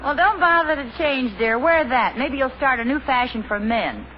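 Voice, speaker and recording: A teasing sitcom wife. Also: female voice, sits high, lively intonation, moderate pace, a crowd in the background. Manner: dry, gently teasing. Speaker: radio sitcom lead. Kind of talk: 1950s radio sitcom acting. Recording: dull old radio transcription, loud hiss and audience.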